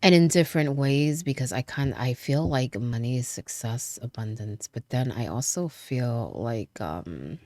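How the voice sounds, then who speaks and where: A woman giving a casual tarot reading, chatty, with frequent fillers, trailing pauses and light laughs, and sounding stuffy from a cold. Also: extremely low pitch, a little mellow, dry recording. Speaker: tarot reader.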